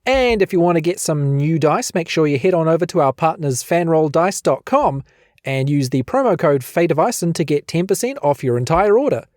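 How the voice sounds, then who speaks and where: A man's voice: fairly high pitch, expressive, brisk, darkish tone, no echo, clean studio sound. A man with a New Zealand accent reading a scripted promo and story recap as a podcast announcer. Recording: music bed behind the voice.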